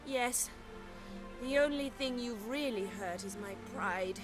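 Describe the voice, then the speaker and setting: A woman's voice: a little high, takes it slowly, music in the background. An actress playing a spirited, imperious young noblewoman, a diplomat's daughter, in a video game. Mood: playful, candid. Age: young adult. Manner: imperious, playful, crisp commands. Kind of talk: video game character acting.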